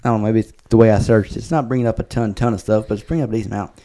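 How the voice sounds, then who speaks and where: A man's voice: on the low side, fairly even intonation, rapid-fire, mellow. Guy hosting a chill podcast, voice getting worn out, rambles and corrects himself a lot. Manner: rambling, self-correcting, wraps up the show. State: losing his voice from talking a lot. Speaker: podcast host. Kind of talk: casual chat.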